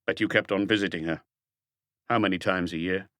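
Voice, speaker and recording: Man playing a low-voiced, persistent police detective. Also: steady tone, brisk, fairly bright tone, no echo, studio quality. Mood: probing. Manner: pointed, pressing questioning. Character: probing, persistent male police detective, firm and unhurried.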